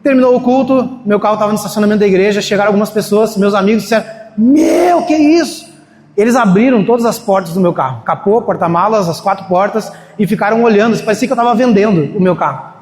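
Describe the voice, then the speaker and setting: A man's voice: sits high, fast talker, a little mellow. A man preaching a sermon in a church in a casual, storytelling way, acting out the voices of people he quotes. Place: church hall. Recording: echoey hall, faint ambience.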